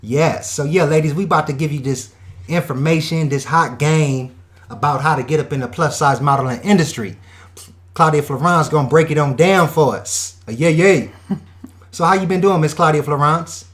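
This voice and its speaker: A man hosting an online radio show, talking in an energetic, slangy hype style with catchphrases. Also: on the high side, moderate pace. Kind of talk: hype radio host patter.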